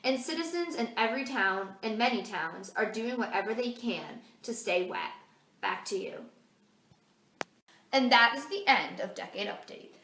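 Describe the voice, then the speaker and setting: A woman's voice: on the high side, expressive, moderate pace, a bit bright, distant mic. A teenage American girl delivering an amateur scripted mock newscast as a student correspondent. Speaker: student playing news anchor and correspondent. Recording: echoey room, faint noise.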